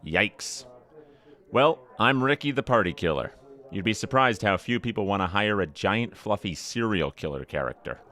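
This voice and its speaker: A man's voice: fairly low pitch, expressive, moderate pace, pushed and bright. Man playing a snide, swooping mascot guy. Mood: sarcastic. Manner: mocking, snide. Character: sarcastic costumed mascot of a giant fluffy killer. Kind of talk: comedic audio drama acting.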